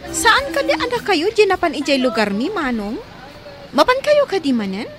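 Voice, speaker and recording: A woman's voice: extremely high pitch, sing-song, moderate pace, music in the background. A woman with an Ilocano accent playing an anxious, pleading young village woman in a radio comedy drama. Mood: probing.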